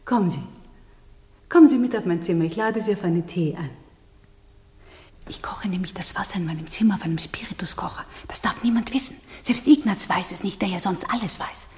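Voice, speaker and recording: An actress playing a secretive, chatty young variety dancer in a radio drama, confiding and soft-spoken. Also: female voice, mid-range voice, fairly quick, close to an everyday voice. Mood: inviting, secretive. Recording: long reverberant room sound.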